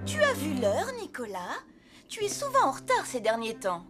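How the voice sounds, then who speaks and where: A woman's voice: sits high, huge pitch swings, moderate pace. A woman voicing a strict primary schoolteacher in a French children's cartoon, with a stern manner. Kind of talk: French animated children's series dubbing. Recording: cartoon music behind the voice.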